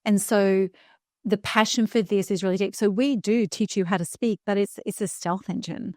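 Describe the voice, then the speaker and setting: A woman in her fifties speaks with earnest conviction, leaning into her points and slowing down to land the important words. Her voice sits in an ordinary middle pitch. It is recorded close to the mic, with a dry, dead sound. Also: steady tone, darkish tone, no echo. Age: 50s. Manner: earnest, emphatic, slows down for key phrases. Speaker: guest speaker coach. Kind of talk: reflective podcast conversation.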